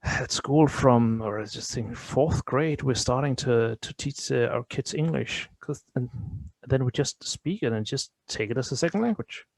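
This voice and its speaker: Danish-accented man, halting and dry. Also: mid-range voice, vocal fry, no echo. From Denmark. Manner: halting, repeats words while searching for English phrasing. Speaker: interview guest. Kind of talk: casual conversation.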